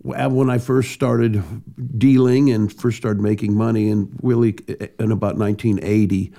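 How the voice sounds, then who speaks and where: A man's voice: fairly low pitch, level tone, moderate pace, creaky, dark tone. A man in his sixties from Southern California, a guest in a casual interview, answering in a laid-back way with short replies and dry jokes. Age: 60s. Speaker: guest, art dealer and rug expert.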